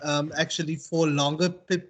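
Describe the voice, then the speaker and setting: A South African man speaking as a university tutor in an informal online class, reflective and halting as he circles back to rephrase. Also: fairly high pitch, little pitch movement, fairly bright tone. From Western Cape, South Africa. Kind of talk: informal online lecture and discussion.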